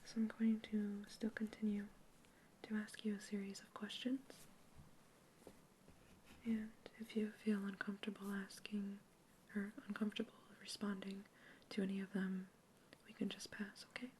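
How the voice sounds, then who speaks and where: A young woman whispering slowly and gently in a sci-fi ASMR roleplay, asking calm interview questions like a careful researcher. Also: medium pitch, slight background noise, low-quality recording. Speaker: ASMR creator.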